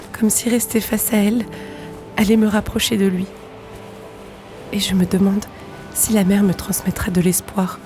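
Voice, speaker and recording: Casting: actress as sorrowful, yearning woman, soft breathy delivery, hesitant, audio fiction with light music underneath. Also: mid-range voice, lightly acted. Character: grieving, wistful woman searching the sea for her lost brother. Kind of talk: French audio drama acting.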